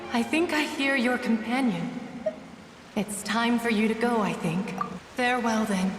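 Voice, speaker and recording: An actress playing a sorrowful, serene spirit of a drowned woman in a video game, speaking in a resigned, wistful, measured way. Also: medium pitch, recorded from a distance, close to an everyday voice. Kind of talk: dramatic video game voice acting. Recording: long echoing reverb, music behind.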